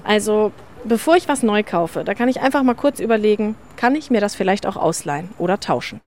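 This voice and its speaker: A woman's voice: mid-range voice, animated, brisk, a little mellow, a little hiss or hum. A female reporter describing a shop on site and asking questions. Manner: descriptive, walking through a shop. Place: inside a shop.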